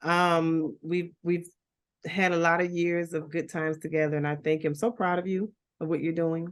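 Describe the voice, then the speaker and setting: A woman's voice: lowish voice, level tone, moderate pace. A middle-aged woman from Liberia, a guest chatting casually and telling her life story with laughs and restarts. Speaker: guest, school founder. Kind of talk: casual chat.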